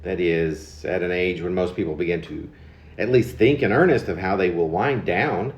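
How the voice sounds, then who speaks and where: Low-voiced man reading in an echoey room. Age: 50s. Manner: halting, pausing mid-phrase with stressed words. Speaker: poet. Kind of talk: reading own confessional autobiographical poem aloud. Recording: dull lo-fi recording in echoey room.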